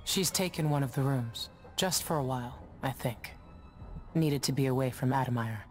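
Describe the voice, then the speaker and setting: A woman playing a gruff, wary middle-aged ship captain in a video game, with terse, guarded lines. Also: a bass voice, moderate pace, a little mellow, soft background music. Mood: businesslike. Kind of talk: video game cutscene acting.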